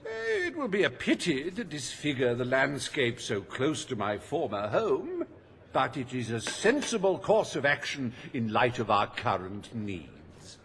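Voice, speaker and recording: An actor playing a smug, greedy industrialist in a video game, speaking in pompous, theatrical oratory. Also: male voice, medium pitch, wildly swooping pitch, unhurried, creaky, fairly bright tone, full character voice. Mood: smug persuasion.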